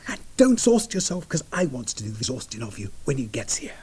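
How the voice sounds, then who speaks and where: An actor playing a mild, affectionate Edwardian gentleman bridegroom in a period radio drama, diffident and whimsical as he woos. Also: mid-range voice, sing-song, fast, slightly rough. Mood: amorous.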